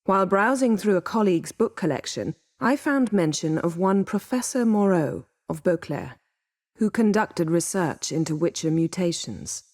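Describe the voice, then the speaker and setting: Actress doing a snooty commanding sorceress from a fantasy game, lowish voice, formal and sharp tongued, dry studio sound. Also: female voice, no echo, natural voice. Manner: imperious, formal, cutting. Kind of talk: video game character acting.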